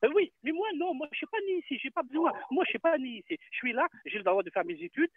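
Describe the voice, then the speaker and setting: High excitable caller on phone line. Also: male voice, no echo. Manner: insistent, repetitive, halting. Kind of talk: call-in radio. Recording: tinny dry phone line.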